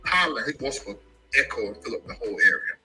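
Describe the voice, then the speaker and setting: A man telling an emotional personal story about his family, animated and given to sudden high shouts. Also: fast, soft background music. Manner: animated, jumps into high-pitched shouts when quoting.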